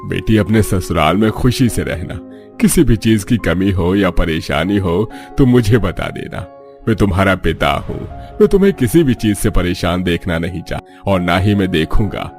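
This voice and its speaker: A man's voice: sits low, expressive, moderate pace, a little mellow, faint music behind. A man playing a loving, protective middle-aged father in a cartoon story, earnest and reassuring.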